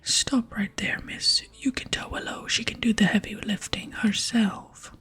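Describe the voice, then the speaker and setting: A woman's voice: medium pitch, fairly even intonation, a little slow, fairly bright tone, natural voice. Woman putting on a proud whispering barbarian muscleman. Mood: proud, offended. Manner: boastful, emphatic, addresses others grandly. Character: proud, vain muscleman barbarian, gruffly chivalrous. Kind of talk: whispered ASMR game role reading.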